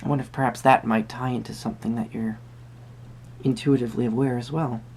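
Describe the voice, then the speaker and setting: Creaky whimsical tarot reader someone acting it out, soft spoken roleplay, hums and ponders, bit of noise behind. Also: medium pitch, fairly even intonation, lightly acted. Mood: musing, gentle. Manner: hesitant, musing, pausing with hums.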